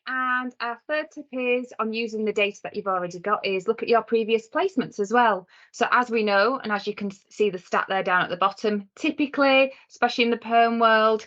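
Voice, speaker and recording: A woman giving a conversational instructional presentation as a software trainer in a webinar. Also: a little high. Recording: slightly dull lo-fi webinar audio.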